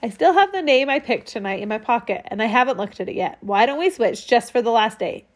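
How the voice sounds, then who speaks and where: A woman voicing a gentle, caring mother who speaks softly and reassuringly. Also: fairly high pitch, animated, fairly quick, close to an everyday voice. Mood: tender. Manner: soft, reassuring.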